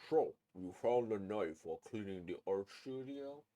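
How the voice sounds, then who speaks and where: A man voicing a stern, guarded adult questioning a student in a horror manga, firm and deliberate. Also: on the low side, animated, dark tone, close to an everyday voice. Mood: stern, probing.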